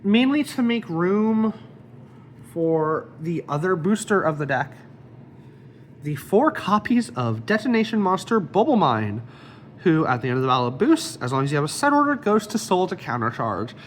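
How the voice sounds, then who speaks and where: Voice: medium pitch, big pitch swings, normal speed, slight background noise. A card game deck reviewer with a slight cold, casually explaining card effects one by one.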